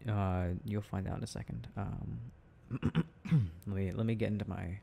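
A young man with a lowish, gravelly voice plays an affectionate, teasing boyfriend. He talks in an unhurried, mellow way, casual and intimate, as if improvising to one listener. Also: flat delivery. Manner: giggly, playful, affectionate, halting. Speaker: boyfriend roleplay audio performer.

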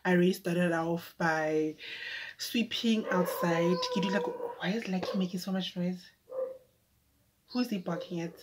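A woman's voice: fairly low pitch, a little slow, background noise. A young woman chatting casually to her phone camera in her bedroom, rambling with fillers. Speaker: vlogger. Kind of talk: casual vlog chat to camera. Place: bedroom at home, indoors.

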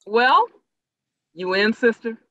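A man's voice: very high-pitched, sing-song, slow pace, sharp tone, dry recording, full character voice. A man playing a fired-up activist preacher in a play reading, on dull call audio. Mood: persuasive. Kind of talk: staged play reading over video call.

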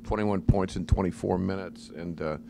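A man's voice: low-pitched, steady tone, normal speed, gravelly, background noise. A man, a sports reporter asking a coach plain, matter-of-fact questions at a press conference, picked up from across the room.

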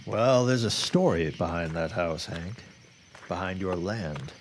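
Male actor as period newsman, low voice, grim, wary, formal storytelling, faint noisy ambience. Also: a little slow. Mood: grave, foreboding. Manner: formal, old-fashioned phrasing, grave storytelling. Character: grim, wary 1910s newsman and worried father. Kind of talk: period radio drama acting.

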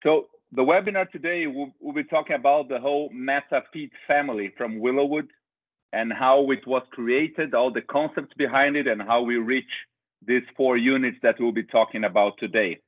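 A man's voice: medium pitch, moderate pace. Middle-aged brazilian guy giving a webinar, keeps restarting with uhs, thin phone-ish sound. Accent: Brazilian Portuguese. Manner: hesitant, restarts with filler uhs. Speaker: company director presenting a webinar. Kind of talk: webinar presentation. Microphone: video call. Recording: tinny phone-like video call audio.

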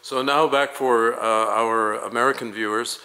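Male interviewer, bright tone, mid pitch, polished, leading questions. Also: fairly even intonation, moderate pace, poor audio. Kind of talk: promotional interview.

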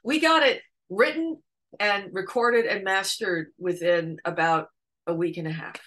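A middle-aged woman singer-songwriter, a guest telling stories in a casual video-call interview, animated with a deep voice. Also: a little slow. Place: home room with red armchair.

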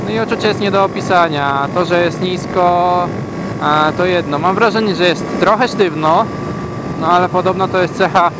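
Man, motorcycle vlog, slightly high voice, relaxed rambling, helmet mic, loud engine and wind roar. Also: moderate pace. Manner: relaxed, rambling, many hesitation sounds. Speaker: motovlogger. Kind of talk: casual talk while riding. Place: riding a motorcycle through city traffic outdoors. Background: motorcycle engine and traffic.

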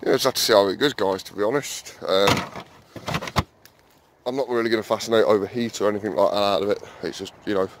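A man's voice: lowish voice, fairly even intonation, fast, background noise. A man chatting casually to viewers while walking around his allotment and shed, full of fillers and calling them guys. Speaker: allotment vlogger. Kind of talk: casual walk-and-talk vlog. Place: outdoor allotment, then small garden shed.

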